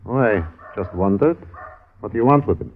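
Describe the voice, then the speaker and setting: Swooping, genteel shipping agent. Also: male voice, lowish voice, lively intonation, moderate pace, slight background noise. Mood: guarded. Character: genteel, evasive male shipping agent in a tropical port. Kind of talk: 1950s radio drama acting. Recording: thin, narrow-band old radio recording.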